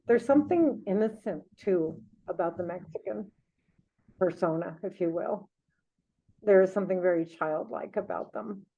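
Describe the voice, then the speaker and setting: A woman chatting casually as a podcast co-host, musing in a reflective way. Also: medium pitch. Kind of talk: casual unscripted conversation. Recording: thin phone-like audio.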